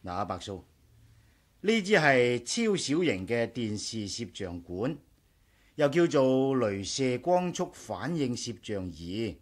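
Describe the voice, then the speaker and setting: An actor with a Hong Kong Cantonese accent playing an eager, stubborn engineer in a 1980s radio drama. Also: male voice, mid-range voice, very animated, slow. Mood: eager, proud. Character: eager, stubborn male engineer, proud of his gadgets.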